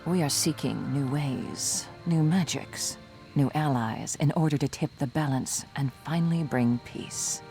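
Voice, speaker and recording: An earnest sorceress in a fantasy video game. She speaks with solemn, measured resolve while quiet music plays underneath. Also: sits low, creaky. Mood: solemn, resolute. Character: earnest, resolute female human necromancer.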